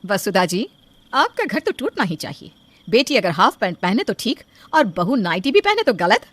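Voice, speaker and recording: A woman's voice: medium pitch, very animated, normal speed, dry recording. A woman playing an outspoken, modern middle-aged mother in a cartoon story, teasing and assertive. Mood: indignant scolding. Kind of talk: animated cartoon story acting.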